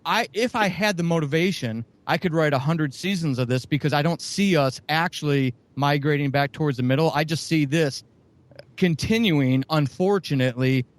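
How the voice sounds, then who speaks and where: A man who writes a comedy show, answering an interview question thoughtfully with halting restarts. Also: fairly high pitch, no room sound. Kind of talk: casual interview chat.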